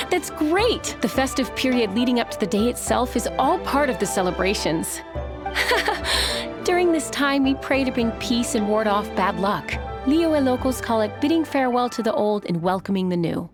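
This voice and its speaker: A woman's voice: a little high, sing-song, moderate pace. A woman playing a composed, welcoming innkeeper in a video game, speaking politely as she explains things. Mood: encouraging, hesitant. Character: composed, welcoming adult female innkeeper, gently wistful. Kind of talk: video game character acting. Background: game background music.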